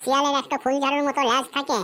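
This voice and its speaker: A woman voicing a bright, cocky cartoon schoolboy. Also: high-pitched, level tone, rapid-fire. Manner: rattles off a memorized essay in a sing-song recital. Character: cocky schoolboy who turns every essay into a fox essay. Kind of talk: cartoon voice acting.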